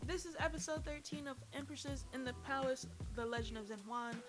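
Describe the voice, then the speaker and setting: A woman giving a casual, animated recap of a TV drama, with profanity and slang, talking straight to her chat. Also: high-pitched, brisk, over music. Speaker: TV series reviewer.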